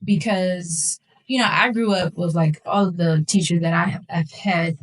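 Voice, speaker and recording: A young woman from West Philadelphia, a singer guest, talking in a relaxed conversational way with frequent fillers. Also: fairly low pitch, moderate pace, poor audio. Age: 20s. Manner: relaxed, lots of fillers like um and you know. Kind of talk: casual conversation.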